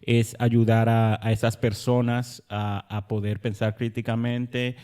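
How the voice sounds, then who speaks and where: A man's voice: mid-range voice, little pitch movement, unhurried, mellow, smooth. Hesitant man chatting on a podcast. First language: Spanish. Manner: hesitant, many fillers and restarts. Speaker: podcast co-host. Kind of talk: casual chat.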